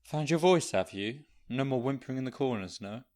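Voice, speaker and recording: Hard-nosed male survivor, played by an actor: mid-pitched, mocking, callous, trusts nobody, audio drama. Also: expressive, brisk, darkish tone, lightly acted. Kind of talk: naturalistic audio drama acting.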